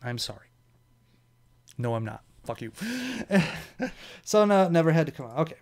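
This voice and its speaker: Rambling young Midwestern man, slightly high voice. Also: animated, moderate pace, a little mellow. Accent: Midwestern American. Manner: rambling, self-correcting, swears, laughs at himself. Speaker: vlog show host. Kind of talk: casual solo commentary, reading quiz items aloud.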